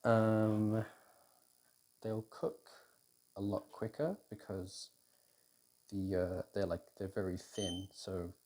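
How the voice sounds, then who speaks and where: A British man in an intimate, casual ASMR roleplay as a boyfriend cooking, talking in an unhurried, hesitant way as if thinking aloud. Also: on the low side, steady tone, a little mellow. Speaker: audio roleplay performer playing a boyfriend cooking. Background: kitchen food preparation sounds.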